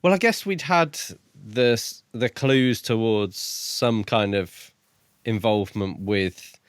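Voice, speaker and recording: Male podcast co-host, lowish voice, dry close sound, tentative and questioning in casual book discussion. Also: slow pace, clear, resonant tone, no room sound.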